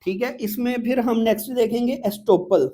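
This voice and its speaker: A woman's voice: on the high side, brisk, dark tone. A woman with a Pakistani accent giving an informal lecture as an exam prep teacher, explaining step by step with frequent repetition and check-ins.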